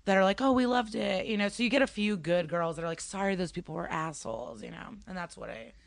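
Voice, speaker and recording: Young woman comic from Ukraine, middle pitch, chatty storyteller, dry close mic. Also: fast talker, vocal fry, no echo. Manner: chatty, animated storytelling with many "like" fillers. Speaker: stand-up comedian, guest. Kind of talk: casual podcast chat.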